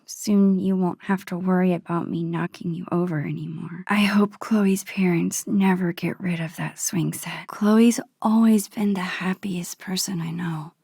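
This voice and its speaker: A woman playing a shy, sweet, nostalgic teenage girl in a video game, musing softly and breathily to herself. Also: on the low side, fairly even intonation, a little slow, hardly any pauses, no room sound, studio quality, exaggerated voice. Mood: gently nostalgic. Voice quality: soft, breathy, hushed. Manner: thoughtful, murmured musing to herself. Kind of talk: introspective game character monologue.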